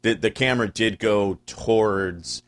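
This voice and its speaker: Low-voiced male co-host, blunt, swears a lot, jumps in often, dry close sound. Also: no room sound. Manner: blunt and profane, quick interjections. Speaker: podcast co-host. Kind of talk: casual political chat.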